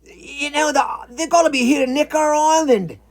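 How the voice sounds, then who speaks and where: High-pitched, cheeky British iguana statesman. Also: fairly even intonation, normal speed. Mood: nervous, resigned. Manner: folksy, colloquial, rambling, slangy. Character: chatty, cheeky male iguana prime minister. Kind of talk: comic storytelling character voice.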